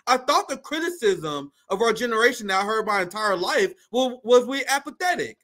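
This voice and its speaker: A man's voice: sits high, a little fast. An American man in his thirties co-hosting a casual, profane political show, with an incredulous, slangy manner. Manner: incredulous, animated, slangy ranting. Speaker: co-host.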